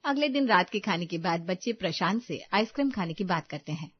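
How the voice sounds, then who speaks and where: A woman's voice: lowish voice, animated, a little fast, slightly rough, dry recording, highs cut off. A woman narrating a scripted Hindi family story as a storyteller.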